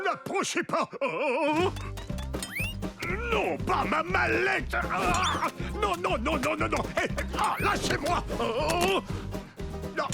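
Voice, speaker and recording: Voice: sits high, gravelly, full character voice. An actor playing a timid, kindly donut baker in a French-dubbed children's cartoon, flustered, pleading and exclamatory.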